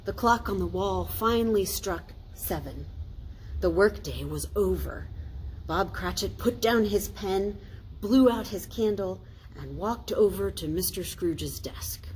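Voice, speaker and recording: An American woman, a pastor, reading a children's story aloud in a deliberate, explanatory way. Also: medium pitch, expressive, a little mellow, poor audio. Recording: faint noise, ambient sound.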